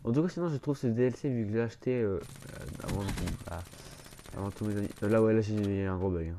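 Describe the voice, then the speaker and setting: A man's voice: lowish voice, quick pace, noisy background. A man with a blocked, stuffy nose giving casual gameplay commentary, rambling and hesitant, full of fillers and restarts. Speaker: gaming YouTuber.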